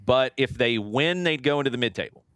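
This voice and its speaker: A man's voice: medium pitch, expressive, brisk, fairly bright tone, clean tone, dry recording. A man hosting a sports show, talking casually through soccer match previews and steering the discussion.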